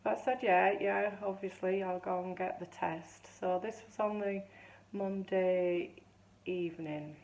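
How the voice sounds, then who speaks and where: A woman in her fifties with a low, gravelly voice that is still a touch hoarse. She talks in a chatty, rambling way, often restarting her sentences as she tells her story. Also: fairly even intonation, measured pace, darkish tone. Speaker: vlogger. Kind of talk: casual chatty vlog.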